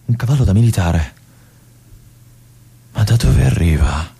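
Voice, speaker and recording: An actor playing a brooding male army lieutenant in a theatrical monologue, with an intense, hushed delivery and phrases that drop to a whisper. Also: fairly low pitch, animated, measured pace, gravelly, breathy edge, heavily acted. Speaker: stage actor.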